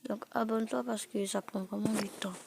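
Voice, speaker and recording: A young woman with a cold and a blocked nose, giving a casual, halting improvised tour commentary as a video host, often repeating words and exclaiming. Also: medium pitch, steady tone, vocal fry. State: sick with a cold. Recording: noisy amateur recording with clicks.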